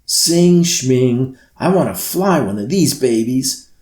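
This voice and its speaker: Daredevil storybook girl, read by a man, pitched up, brash, in an echoey room. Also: expressive, slow pace, a little mellow. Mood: thrilled, brash. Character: bold, mischievous thrill-seeking young girl. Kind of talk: storybook character voice. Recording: roomy echo.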